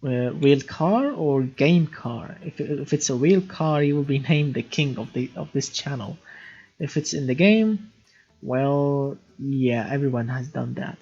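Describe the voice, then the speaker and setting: A man's voice: medium pitch, lively intonation, measured pace, darkish tone, slightly rough, dull audio. A young man from Syria hosting a casual live stream, chatty, reading viewer comments aloud and often repeating words as he restarts sentences. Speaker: livestream gaming host.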